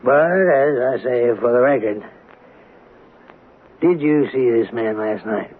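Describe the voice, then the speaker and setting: Actor as a folksy sheriff on crackly old radio. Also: male voice, mid-range voice, slow pace, lightly acted. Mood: apologetic, probing. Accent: American. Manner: folksy, hesitant, rural turns of phrase. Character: folksy, plain-spoken small-town male sheriff, apologetic but probing. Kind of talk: old-time radio drama acting. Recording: narrow, noisy old radio broadcast.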